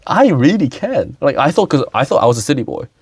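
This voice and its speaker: A man's voice: on the high side, sing-song, quick pace, a little mellow, slightly rough, no echo, studio quality. A young man from South Korea, hosting a casual talk, who laughs often and talks with many fillers and restarts. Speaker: podcast host. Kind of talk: casual conversation.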